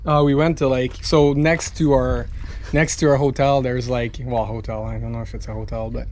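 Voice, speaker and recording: A man's voice: mid-range voice, level tone, moderate pace, a little mellow, a little hiss or hum. A Canadian man in his thirties with a laid-back, slangy, sweary way of talking, chatting casually to camera as a sailing vlogger. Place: outdoors in a boat yard among hauled-out boats.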